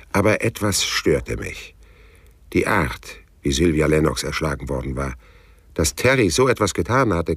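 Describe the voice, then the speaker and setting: An actor playing a cynical, world-weary male private detective in a radio crime drama, rough-voiced, dry and laconic. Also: extremely low pitch, exaggerated voice. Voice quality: husky, rough. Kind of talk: radio crime drama with first-person narration.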